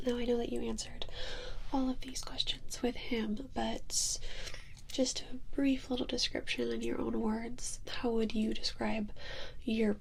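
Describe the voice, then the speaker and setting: A woman whispers as a doctor in an ASMR roleplay, gentle and soothing as she checks in. Her delivery is slow, with a good deal of fry in the breathy voice. Clear noise runs behind her. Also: a little high. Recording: clear background noise with clicks.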